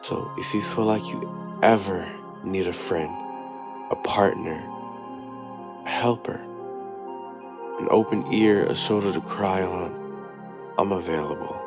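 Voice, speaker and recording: A low-voiced, devoted boyfriend, played by a man, speaks tenderly and unhurriedly in an intimate audio roleplay. He is earnest and a little hesitant, with slow pauses between phrases. The sound is slightly muffled like a voicemail, over soft music. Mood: loving, reassuring. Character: devoted, tender boyfriend leaving a rambling late-night voicemail. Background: soft new-age music.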